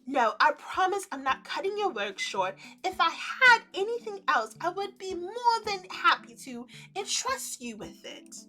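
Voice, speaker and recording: A woman voicing a reserved, serene male winter spirit in a romance game, speaking in a measured, earnest, formal way. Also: very high-pitched, big pitch swings, sharp tone, soft background music, heavily acted. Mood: earnest reassurance.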